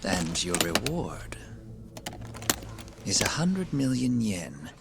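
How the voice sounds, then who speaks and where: A man playing a polite, calculating criminal client in a video game drama, speaking formally and with measured care. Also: lowish voice, big pitch swings, creaky, heavy background noise, heavily acted. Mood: smooth, calculating. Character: polite, calculating, secretive client hiring gangsters for abductions. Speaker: voice actor in a video game dub. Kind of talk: cinematic crime game acting. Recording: music behind the voice.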